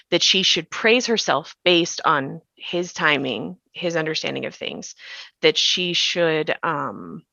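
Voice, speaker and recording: A woman's voice: deep voice, sharp tone. A woman in a reflective conversation, explaining her thoughts carefully and repeating points for emphasis. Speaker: podcast creator and co-host. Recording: slightly muffled, roomy sound.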